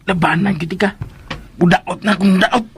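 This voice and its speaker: An actor with a Filipino accent playing an excitable, fussy male household helper in a radio drama, with a husky voice. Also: sits high, moderate pace, over loud music. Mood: grumbling.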